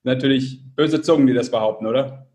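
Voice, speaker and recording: A man's voice: medium pitch, fairly quick, darkish tone. A man co-hosting a podcast in a casual, joking way. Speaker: podcast co-host. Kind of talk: casual chat, bantering.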